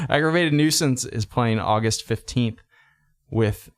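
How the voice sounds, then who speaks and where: A man's voice: mid-range voice, unhurried, no room sound. A man in his late thirties, a guest on a podcast, chatting casually and joking, often laughing between lines. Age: 30s. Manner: jokey, laughs often, teasing. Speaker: podcast guest, band member. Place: podcast studio.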